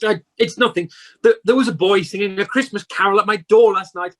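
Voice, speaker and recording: Someone acting a husky, grumpy old miser. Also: mid-range voice, fairly quick, natural voice. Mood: moved. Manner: blustering, stammering. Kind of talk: radio play acting.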